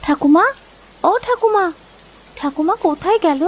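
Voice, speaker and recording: Woman as a high-pitched earnest village girl. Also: a little slow, a little mellow. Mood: eager. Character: caring, timid young village sisters, poor orphan girls. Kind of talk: Bengali cartoon story acting.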